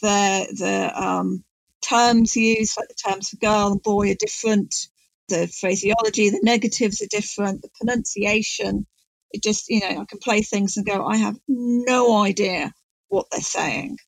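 A middle-aged woman, explaining things informally in an animated, emphatic, digressive way. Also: medium pitch, unhurried, creaky, dry recording, rough recording. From North Wales. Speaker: guest expert on Welsh language and poetry.

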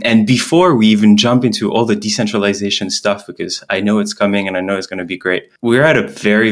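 A man hosting a podcast, talking casually and enthusiastically with his guest. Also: deep voice. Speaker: podcast host. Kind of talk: casual interview talk.

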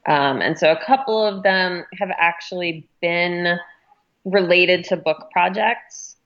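A woman's voice: on the low side, takes it slowly, a bit bright, lo-fi sound. A woman speaking as a magazine editor in a conversational interview, explaining things thoughtfully.

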